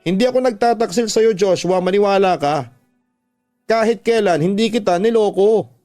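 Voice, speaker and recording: A man's voice: sits high, moderate pace, mellow, voice pushed up, lightly acted. Male actor as young boyfriend, weary, defensive, pleading, emotional radio drama, music bed. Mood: tearful pleading. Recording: music under the voice.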